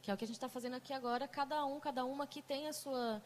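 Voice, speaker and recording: A woman's voice: high-pitched, steady tone, racing, slight background noise. A woman with a Brazilian Portuguese accent leading a group conversation, chatty, with many fillers and restarts. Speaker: mediator. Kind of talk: casual talk to a group.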